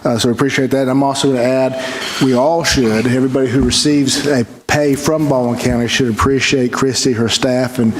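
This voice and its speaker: A man in his sixties giving an off-the-cuff, folksy tribute in halting speech at a podium microphone. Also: medium pitch, fairly even intonation. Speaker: staff award presenter. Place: indoor government meeting room. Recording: background noise in meeting room.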